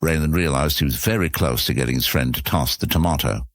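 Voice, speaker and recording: A man's voice: a bass voice, fairly quick, no echo, studio quality. A man narrating a scripted fantasy story as the narrator of an audio serial.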